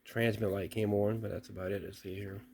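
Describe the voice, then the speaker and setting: A man with a lowish voice walks through an old radio he bought, speaking plainly and thinking aloud. He stops and restarts mid-sentence now and then. A faint hiss sits under the indoor recording. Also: flat delivery, brisk, vocal fry, a little mellow. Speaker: radio hobbyist testing gear. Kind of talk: casual unboxing walkthrough.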